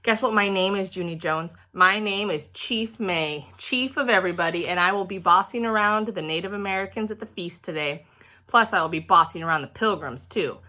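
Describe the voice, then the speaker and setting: A woman's voice: mid-range voice, bright, forceful tone, lightly acted. A woman voicing a boastful, bossy schoolgirl in a children's storybook, with a taunting, show-off manner. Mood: smug, taunting. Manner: taunting, show-off, drawn-out emphasis.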